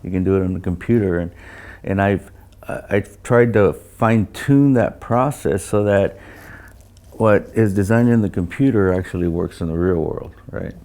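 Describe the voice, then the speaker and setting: An older man who designs loudspeakers, talking casually about technical matters and often repeating words as he recounts things. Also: low voice. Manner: halting, repeats words, anecdotal.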